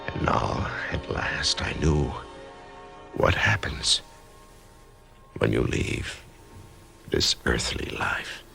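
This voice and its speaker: Dazed writer in a radio play, super deep gravelly voice, slow and stammery. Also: male voice, animated, soft background music, heavily acted. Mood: hushed, haunted. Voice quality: gravelly, hushed. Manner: halting, stammering when shaken. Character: dazed male writer waking from surgery. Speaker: narrator and lead character. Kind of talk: radio drama acting.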